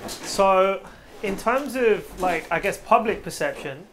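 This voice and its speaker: A woman's voice: mid-range voice, expressive, takes it slowly, slight background noise, low-quality recording. Chatty teasing young woman co-host. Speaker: co-presenter. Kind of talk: casual chat.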